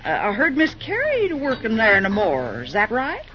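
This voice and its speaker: A woman's voice: a little high, wildly swooping pitch, a bit bright. An actress playing a folksy, nosy, kindly lunch-counter woman with a rural American accent in an old-time radio drama. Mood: chatty, prying. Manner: folksy, chatty, drawn-out exclamations. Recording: dull old radio recording, crowd noise.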